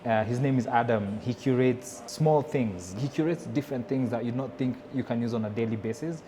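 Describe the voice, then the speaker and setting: A man's voice: medium pitch, brisk, mellow. A man from Nairobi with a Kenyan accent, talking casually and conversationally as a chef being interviewed. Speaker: chef and restaurant owner.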